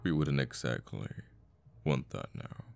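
A man playing a cocky assassin boyfriend in an intimate ASMR audio roleplay, murmuring and sweary, with sighs and pauses. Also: extremely low pitch, very animated, vocal fry, darkish tone, faint music behind, exaggerated voice. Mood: quiet menace. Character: cocky young male assassin, flippant, menacing, secretly a devoted boyfriend.

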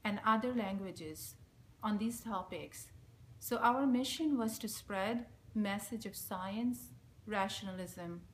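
A woman in her forties with a Bangladeshi accent, Bengali being her first language, reads a prepared message in a measured, solemn, steady way, facing a close camera in a small room. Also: mid-range voice. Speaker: speaker giving a recorded message. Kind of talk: reading a prepared statement. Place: small indoor room, plain wall. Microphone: front-facing camera at close range.

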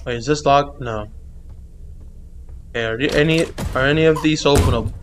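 A man's voice: mid-range voice, brisk, background noise. A man giving reaction commentary on a horror game, jumpy and exclaiming, swearing and repeating himself. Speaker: gaming video creator.

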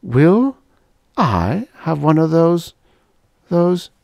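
A man voicing an anxious, quick-minded small-town woman. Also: mid-range voice, big pitch swings, warm tone, no echo, studio quality, pitched above the performer's own voice. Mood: shocked. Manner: urgent, raised voice.

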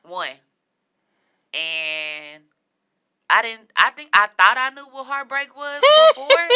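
A woman's voice: on the high side, huge pitch swings, slow pace, sharp tone, clear, resonant tone. A young woman co-hosting a podcast, earnestly asking questions and speaking openly about her feelings in casual chat. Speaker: podcast co-host. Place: studio room with a city backdrop.

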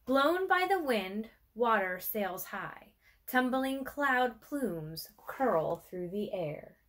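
Slow-paced woman reading a picture book. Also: fairly high pitch, sing-song, a bit bright. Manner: measured, deliberate phrasing with pauses. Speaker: museum educator reading aloud. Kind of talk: expressive children's picture-book read-aloud. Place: small furnished room with armchair and bookshelf, indoors.

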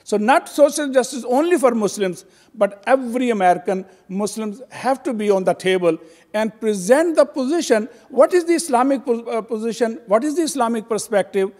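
A man's voice: extremely high pitch, lively intonation, moderate pace, a little mellow. An older man giving a convention talk at a stand mic, hesitant and full of fillers and restarts. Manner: hesitant, many fillers and restarts, gesturing while speaking. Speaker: convention speaker. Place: convention hall. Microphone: stand mic at a podium. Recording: long hall reverb.

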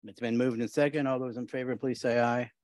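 A middle-aged mayor runs a council meeting from a desk mic, his voice of ordinary pitch. He moves through motions and votes briefly, with a friendly word between them. The recording is dry and close. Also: steady tone, brisk, a little mellow, no echo. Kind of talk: meeting procedure. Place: city council chamber. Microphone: desk microphone.

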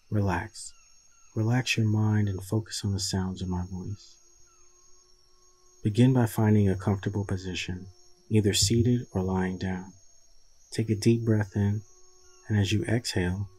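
Man, guided meditation, husky and breathy, flat even intonation, soothing, deliberate, long pauses. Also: fairly low pitch, low-quality recording.

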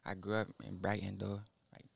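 A nineteen-year-old man, a rapper guest in a small studio, speaking haltingly into a boom mic with many fillers. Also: monotone, creaky, husky, no echo. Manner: halting, mumbled, full of fillers. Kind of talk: casual interview. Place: small studio with foam wall. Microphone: studio mic on boom arm, headphones.

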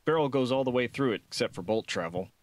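A man's voice: medium pitch, lively intonation, fast, no room sound, lightly acted. A man voicing an easygoing, confident male security guard in an audiobook, casual and buddy-like. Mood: confident, explaining.

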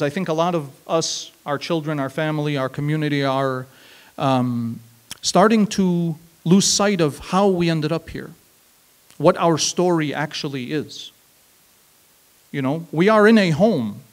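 A man in his thirties telling a personal story at an open mic on a small stage, reflective and measured, leaning on emphatic repeated phrases, speaking into a handheld mic. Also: fairly high pitch. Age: 30s. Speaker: spoken word performer. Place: small stage, seated on a stool.

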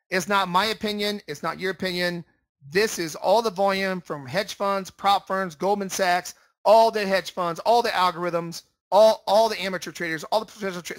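Higher-voiced man teaching, dry sound. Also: a bit bright, no room sound. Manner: emphatic, repetitive explaining. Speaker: trading room instructor. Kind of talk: informal instructional screen-share presentation. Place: indoors, voice-over for screen share.

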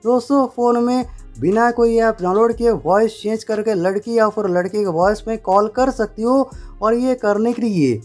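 A man's voice: high-pitched, steady tone, normal speed, a little mellow. A man narrating a step-by-step phone tutorial in an instructional way, repeating stock phrases. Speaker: tech tutorial presenter. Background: faint music.

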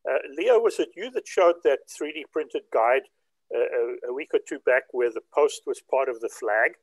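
A man's voice: medium pitch, normal speed, no room sound, rough recording. An older man from South Africa chatting casually and in detail on a group video call. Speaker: participant in a hobby group chat. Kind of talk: casual chat, recounting.

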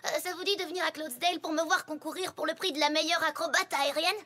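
High-voiced, cocky cartoon pegasus. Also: female voice, steady tone, quick pace, pushed and bright. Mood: boastful, confident. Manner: cocky, bossy, emphatic. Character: brash, boastful young female pegasus pony acrobat. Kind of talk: French cartoon dub acting.

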